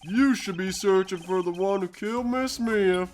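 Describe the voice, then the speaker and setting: A man's voice: high-pitched, moderate pace, natural voice. A smug, theatrical male villain from a courtroom game, acted by a man. He is a menacing businessman who talks down to everyone with pompous condescension. Faint music plays behind him. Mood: smug, dismissive. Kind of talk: fan dub of a courtroom video game.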